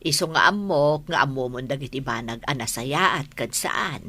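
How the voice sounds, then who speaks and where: A woman playing a kindly, persuasive middle-aged aunt in an audio drama, with a husky voice. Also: a bass voice, lively intonation, moderate pace, pushed and bright, a little hiss or hum, heavily acted. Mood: encouraging.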